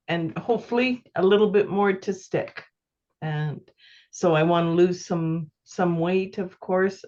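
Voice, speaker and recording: A woman from Canada, talking slowly and hesitantly on a video call. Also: fairly low pitch, darkish tone, lo-fi sound. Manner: slow, hesitant.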